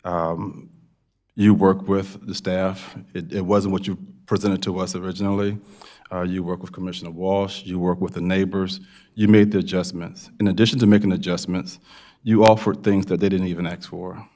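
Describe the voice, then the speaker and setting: An American man with a very low voice speaks as a commissioner at a public meeting. His delivery stays flat in pitch and halting, and he repeats phrases to drive them home. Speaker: town board commissioner. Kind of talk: public remarks at a town meeting.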